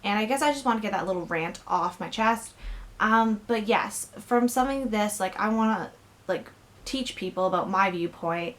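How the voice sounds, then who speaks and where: A woman's voice: medium pitch, moderate pace, fairly bright tone. A young woman vlogging from her bedroom, talking candidly and earnestly with lots of fillers in a personal rant.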